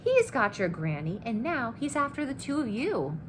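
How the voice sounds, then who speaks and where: Cocky greedy hero guy from a kids book, done by a lady reader, boastful, lively pitch, background noise. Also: normal speed. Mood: scheming, excited. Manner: boastful, breezy, persuasive. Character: cocky, greedy, scheming man, a storybook giant killer.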